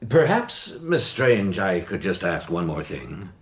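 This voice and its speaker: A man's voice: low-pitched, very animated, slow, exaggerated voice. A man playing a worried, wealthy middle-aged father in a radio mystery, speaking formally and hesitantly. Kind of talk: period radio mystery drama acting.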